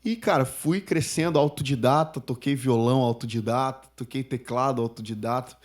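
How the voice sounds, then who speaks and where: A man in his thirties, a native Spanish speaker, telling his story as a podcast guest in a casual, animated way. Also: medium pitch, normal speed. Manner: chatty, animated storyteller, laughs while telling.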